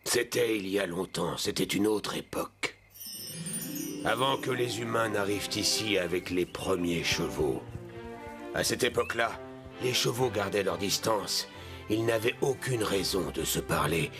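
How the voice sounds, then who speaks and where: Deep-voiced wise old horse. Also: male voice, animated. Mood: solemn, wise. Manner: solemn, instructive, measured storytelling. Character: wise old male ghost horse, solemn storyteller. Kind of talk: French dubbed children's cartoon acting. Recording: loud music behind the voice.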